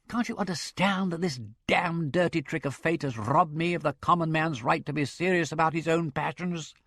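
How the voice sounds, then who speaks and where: A man voicing a witty gentleman in love, speaking in emphatic, impassioned outbursts. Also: a little high, no echo, clean studio sound, voice pushed up.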